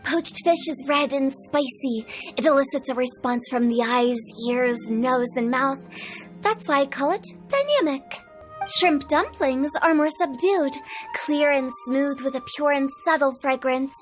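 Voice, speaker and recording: A woman's voice: high voice, animated, unhurried. A woman voicing a playful, quirky young woman in a video game, breezy, theatrical and confident. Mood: theatrically explaining. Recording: music behind the voice.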